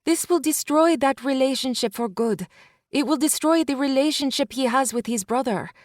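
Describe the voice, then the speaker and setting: A woman's voice: sits high, normal speed, no echo, studio quality, pitched above the performer's own voice, close to an everyday voice. A woman reading an audiobook, voicing a blunt young female friend who presses her point with urgent insistence.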